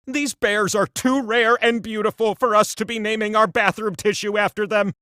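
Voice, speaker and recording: Theatrical, high-pitched talking game show wheel. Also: male voice, fairly even intonation, moderate pace, pushed and bright, clear, resonant tone, no room sound, studio quality. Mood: bemused, wry. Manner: theatrical, punchy delivery with sardonic asides. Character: sentient game show wheel, theatrical, cheeky, peevish trivia host. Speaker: quiz show host. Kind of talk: comedic video game voice acting, quiz patter.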